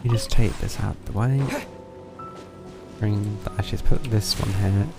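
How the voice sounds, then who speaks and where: A man's voice: on the low side, fairly even intonation, normal speed, vocal fry. A man giving casual live game commentary, thinking aloud in a tentative way. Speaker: game streamer. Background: game music.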